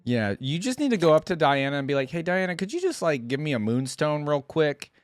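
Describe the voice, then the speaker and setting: A man's voice: on the high side, big pitch swings, fairly quick, no echo, studio quality. A man doing casual reaction commentary, thinking aloud in laid-back, slangy language. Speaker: reaction video creator and commentator.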